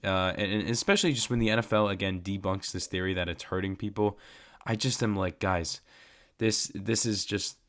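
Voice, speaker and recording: Young male vlog host, congested from a cold, lowish voice, passionate and repetitive. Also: fairly bright tone. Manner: fired-up, repeats himself for emphasis. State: stuffed up with a cold. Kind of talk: casual sports opinion vlog.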